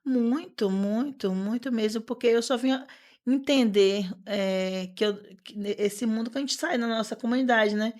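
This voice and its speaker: A woman in her thirties speaking as a podcast guest, earnest and animated, often repeating words and using fillers as she talks. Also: on the high side, moderate pace. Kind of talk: conversational interview.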